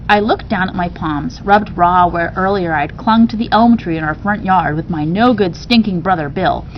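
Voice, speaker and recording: A woman reading a children's novel aloud with animated expression, occasionally stumbling and rereading a word. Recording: dull sound with faint noise, rumble.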